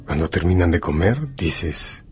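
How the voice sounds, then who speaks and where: Very deep male story narrator. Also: lively intonation, measured pace, darkish tone, slight background noise. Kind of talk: scripted second-person radio drama narration.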